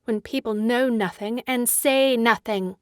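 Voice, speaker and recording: A woman's voice: on the high side, unhurried, no echo, studio quality, close to an everyday voice. An actress playing a petulant, haughty teenage girl in a dramatic audiobook, peevish and imperious. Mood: irritated, peevish.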